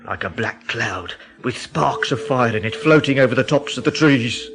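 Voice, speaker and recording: A man's voice: mid-range voice, expressive, normal speed, fairly bright tone, soft background music. An actor playing an alarmed, agitated man from the English Midlands in a radio drama, telling things with dramatic urgency. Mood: grim, fearful.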